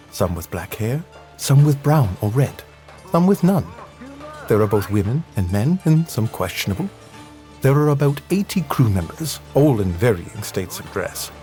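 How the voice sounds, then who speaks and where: A man's voice: mid-range voice, big pitch swings, unhurried, darkish tone. Man narrating an adventure tale over music. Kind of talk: scripted dramatic story narration.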